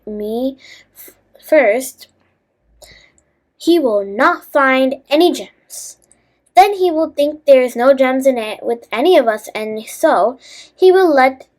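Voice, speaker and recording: A woman's voice: high voice, animated, slow pace, pauses often, full character voice. A woman playing a humble, self-sacrificing male thief in a children's fable, speaking politely and earnestly. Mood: resigned pondering.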